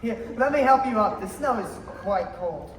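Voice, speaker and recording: A young man playing a gentle, innocent, centuries-old guardian angel in a high school stage radio play, explaining things earnestly. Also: lively intonation, normal speed, noisy background. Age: young adult. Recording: distant echoey theater recording with music.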